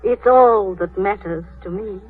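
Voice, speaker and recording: A woman's voice: medium pitch, unhurried, husky. An actress playing a tender, steadfast wife of a statesman in a 1930s radio drama. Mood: concerned, tender. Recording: muffled, thin 1938 radio broadcast.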